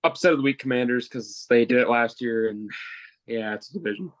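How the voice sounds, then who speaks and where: A man chats casually on a sports podcast, his voice at an ordinary pitch. He keeps his comments short and relaxed. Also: fairly quick. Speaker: podcast co-host.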